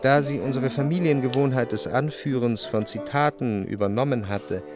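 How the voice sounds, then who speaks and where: An actor playing an introspective, analytical narrator in a literary radio drama, with a measured, reflective delivery. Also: male voice, mid-range voice, over music, close to an everyday voice. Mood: analytical, musing. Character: introspective, analytical male narrator looking back on love.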